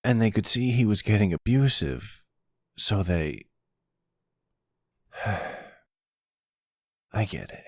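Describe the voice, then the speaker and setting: A sensitive young man of college age as a male actor plays him, with a husky edge and a very lively pitch. He talks haltingly and defensively, close and dry, in an audio drama style. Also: no echo, heavily acted. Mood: sad, vulnerable. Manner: halting, stammering, higher and more boyish. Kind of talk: ASMR audio roleplay acting.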